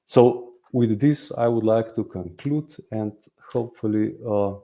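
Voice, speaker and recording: A man wraps up an online research talk in a halting, hesitant way, full of uhs and restarts. His voice is lowish, gravelly and mellow. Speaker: presenter. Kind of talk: academic conference talk.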